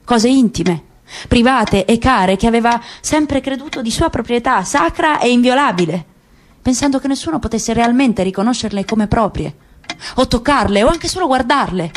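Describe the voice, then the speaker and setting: A woman narrating a literary story for radio, slow and lingering with dramatic pauses. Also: a little high, expressive.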